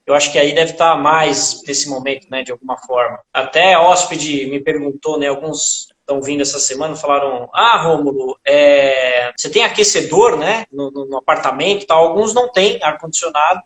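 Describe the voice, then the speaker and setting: A man's voice: a little high, normal speed, few pauses, a bit bright, echoey room. A man hosting a casual live interview over a video call, chatty and friendly, full of tag questions. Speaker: host of a live stream.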